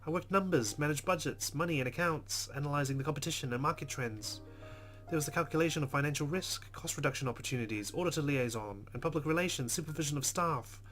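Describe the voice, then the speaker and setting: A man's voice: fairly high pitch, fast talker, a bit bright. A man hosting a let's play, reading visual novel narration aloud. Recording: music behind the voice.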